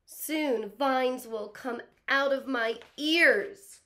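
Woman voicing an excitable, dramatic storybook crocodile, high-pitched. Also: exaggerated voice. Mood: alarmed, panicking. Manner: dramatic, exclaiming, drawn-out words. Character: excitable, panicky young male crocodile who loves watermelon. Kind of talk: children's storybook read-aloud.

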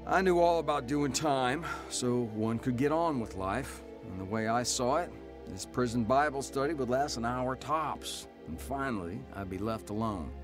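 A man's voice: medium pitch, normal speed, lightly acted. An actor playing a hardened male convict telling his life story in a scripted radio drama. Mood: wry, hard. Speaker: narrator and lead actor. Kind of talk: scripted first-person radio drama narration. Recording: music playing under the voice.

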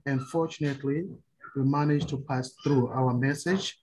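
A man from Mozambique speaks slow, careful English with a clear Portuguese accent, searching for words as he goes. His voice is a bit husky and breathy, and he talks as an advocate addressing an online meeting. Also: mid-range voice, fairly even intonation, darkish tone, rough recording. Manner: careful, hesitant non-native English. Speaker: epilepsy advocate. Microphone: video call.

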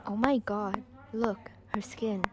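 A woman's voice: medium pitch, steady tone, takes it slowly, close to an everyday voice. Girl as cautious teen, noisy recording. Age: young teenager. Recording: noisy amateur student recording.

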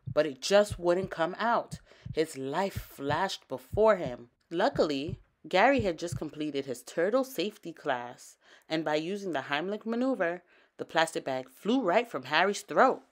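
A woman storyteller reading a children's picture book aloud with expressive, dramatic emphasis. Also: fairly low pitch, moderate pace.

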